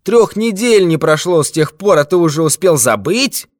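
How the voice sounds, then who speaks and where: A man voicing a brutal, mocking red-haired robber who shouts threats in a raised, forceful voice. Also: fairly high pitch, expressive, normal speed, dry recording, studio quality, voice pushed up, natural voice. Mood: threatening.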